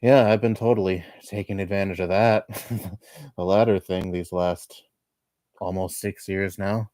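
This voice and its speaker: A man in his twenties chatting casually on a livestream at home, rambling and laughing softly between thoughts. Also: sits low, fairly even intonation, measured pace, dry recording, clean studio sound. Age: 20s. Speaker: livestreamer reading chat. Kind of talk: casual chat.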